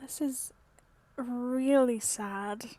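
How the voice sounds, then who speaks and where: A woman's voice: on the high side, steady tone, drawn-out words, noisy background. A woman giving casual commentary as she plays through a video game. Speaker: game streamer.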